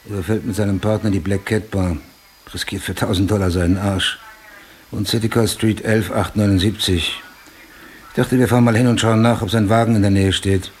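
An actor playing a driven, hard-boiled police detective in a radio drama, with a gravelly voice and terse, clipped phrasing. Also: male voice, lowish voice, fairly even intonation, a little fast, a little mellow, slight background noise, close to an everyday voice. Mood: businesslike. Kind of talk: German radio drama acting.

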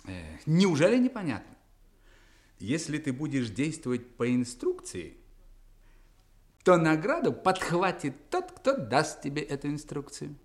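Насмешливого хитреца, живая интонация. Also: male voice, a little high, huge pitch swings, unhurried. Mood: persuasive. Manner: scoffing, insistent. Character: sly, brisk, mocking persuader of a man. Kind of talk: 1960s radio drama acting.

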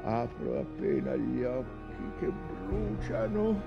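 Slow, anguished shipwrecked whaling captain. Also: male voice, mid-range voice, very animated, gravelly, highs cut off, full character voice. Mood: agonized, straining. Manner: slow, halting phrases swinging between hushed dread and cries. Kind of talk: dramatic theatrical monologue, literary stage acting. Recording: music under the voice.

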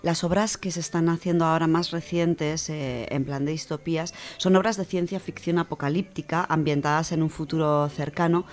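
Low-voiced woman explaining on radio. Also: steady tone, moderate pace, hardly any pauses, soft background music. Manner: thoughtful, with frequent hesitations and restarts. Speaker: radio host presenting a book segment.

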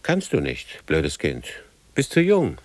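An actor playing a weary, brooding older man in a radio drama, speaking gravely in halting fragments. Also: rumbling low, wildly swooping pitch, a little mellow, dry recording, exaggerated voice. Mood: bitter, scornful.

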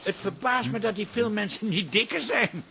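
A devoted middle-aged husband in a radio comedy. He is earnest and a bit fussy, with a very high, sharp voice for a man. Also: normal speed, vocal fry, a little hiss or hum, heavily acted. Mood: fond, earnest. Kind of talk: Dutch radio comedy drama acting.

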